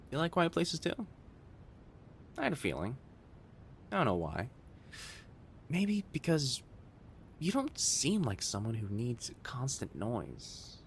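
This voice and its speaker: A man playing a friendly, attentive young kitsune college student in an audio roleplay, speaking directly to the listener with soft teasing and hesitant pauses. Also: medium pitch, slight background noise. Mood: gently admiring. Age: young adult. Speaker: campus guide to a new student. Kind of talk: scripted boyfriend-style audio roleplay.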